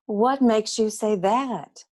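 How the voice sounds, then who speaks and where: Woman playing a high-voiced, sultry noir secretary. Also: slow, warm tone. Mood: concerned, coy. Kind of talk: comic noir radio play acting.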